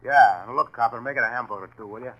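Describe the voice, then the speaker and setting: A man's voice: mid-range voice, quick pace, sharp tone, dull audio. An actor playing a defiant, surly gangster with slangy, defensive tough-guy talk in 1940s radio drama style.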